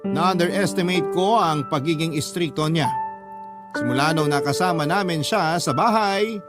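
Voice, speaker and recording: Loud music bed, male storyteller, somewhat high pitch, dramatic scripted narration, radio drama. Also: moderate pace. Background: loud instrumental music.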